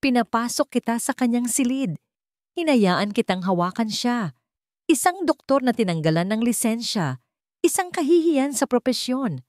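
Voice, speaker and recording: A woman's voice: fairly high pitch, very animated, normal speed, darkish tone, no room sound, clean studio sound, pitched above the performer's own voice. A woman narrator voicing a cold, wounded, imperious billionaire mother in a dramatic story, accusing and cutting. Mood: bitter, furious.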